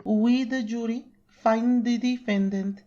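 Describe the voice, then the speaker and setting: A slow, solemn jury foreperson. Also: female voice, fairly high pitch, steady tone, muffled recording, heavy background noise. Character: jury foreperson reading the verdict.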